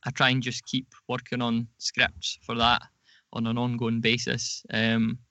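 A man's voice: medium pitch, completely flat delivery, unhurried, bright, forceful tone, no room sound. A man talking casually as a podcast guest. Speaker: podcast guest, audio drama producer. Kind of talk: casual chat.

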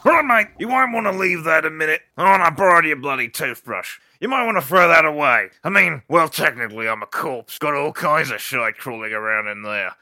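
A man's voice: on the high side, animated, a little fast, hardly any pauses, bright, forceful tone, full character voice. A man playing a crude, blustering old Australian talking corpse and matey mentor in a comic radio play, sweary and theatrical. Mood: grumbling.

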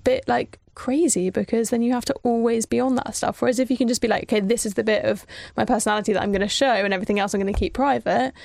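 A woman's voice: a little high, fairly even intonation, fast talker, vocal fry, hardly any pauses, a little mellow, dry recording. A woman chatting casually as a podcast guest, peppering her talk with "like". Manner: chatty, heavy use of "like". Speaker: online food magazine writer, podcast guest. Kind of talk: casual chat.

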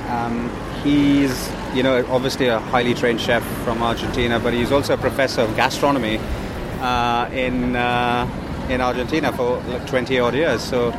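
A man who grew up in India talks in a relaxed, chatty way, full of little fillers and restarts. He is out on a city street, and there is plenty of traffic noise around him. His voice sits at an ordinary middle pitch. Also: moderate pace. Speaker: food startup co-founder. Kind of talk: casual walking interview. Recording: outdoor street recording with traffic.

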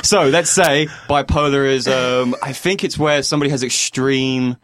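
A man's voice: mid-range voice, moderate pace, a bit bright, no room sound. A young man in his twenties, a university improv student, chatting as a podcast guest in a rambling, laughing way. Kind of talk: casual chat.

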